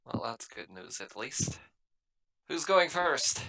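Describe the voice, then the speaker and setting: A man's voice: mid-range voice, very animated, slow pace, pushed and bright, rough recording. A man chatting casually as a player in a tabletop role-playing game.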